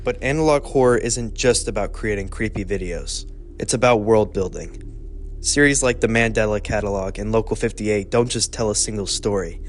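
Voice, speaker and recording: A man narrating a scripted video essay in a measured, even way. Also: on the low side, slight background noise.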